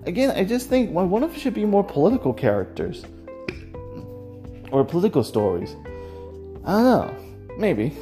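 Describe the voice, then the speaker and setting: A podcast host talking casually about opinions, halting and thinking out loud, often trailing off and self-correcting. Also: mid-range voice, sing-song. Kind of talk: casual rambling opinion talk about games and audio drama. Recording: music playing behind the voice. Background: music.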